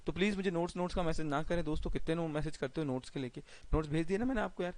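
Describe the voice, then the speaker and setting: An Indian man with a sore, hoarse throat teaching a live online lecture, chatty and repeating his phrases. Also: fairly high pitch, racing, darkish tone, no echo. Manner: chatty, repeats phrases, mixes English words.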